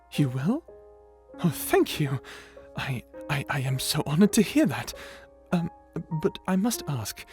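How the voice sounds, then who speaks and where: A man's voice: fairly high pitch, darkish tone. A man voicing a shy, polite dragon butler in an ASMR roleplay, hesitant and deferential, with formal speech. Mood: grateful, solicitous. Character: shy polite old dragon butler, male, eager servant.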